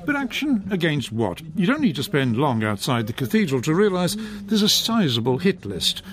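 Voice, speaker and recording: A man presenting a talk radio programme and interviewing a guest in a measured, articulate way. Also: on the high side, crowd noise. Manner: measured, articulate, lively intonation. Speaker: radio host. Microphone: studio microphone.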